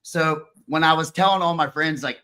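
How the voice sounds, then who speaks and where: A man hosting a live podcast, chatting casually in an excitable, rambling way on a video call. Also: fairly high pitch, moderate pace. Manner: excitable, rambling, says "dude" and "bro". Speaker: podcast host. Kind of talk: casual chat.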